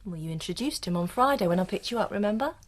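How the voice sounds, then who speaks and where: An actress playing a bitter, suspicious wife with a terse, sardonic manner and a British accent in a naturalistic radio drama. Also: female voice, medium pitch, lively intonation, fast talker, close to an everyday voice. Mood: dismissive.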